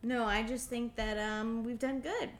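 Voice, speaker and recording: A young woman co-hosting a casual podcast, relaxed and teasing, mostly giving short replies. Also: a little high, a little slow, a little hiss or hum. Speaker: podcast co-host. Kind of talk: casual chat.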